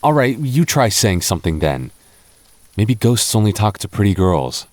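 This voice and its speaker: Man, young adult, very low voice, boyfriend roleplay, teasing, stammers when nervous. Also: very animated, normal speed, a little mellow, dry recording. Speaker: voice actor playing a goth boyfriend.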